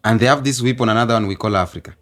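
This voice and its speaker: A man arguing his point in a casual debate chat, insistent and animated. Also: mid-range voice, racing. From Kenya. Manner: insistent, repeats "let me tell you", animated.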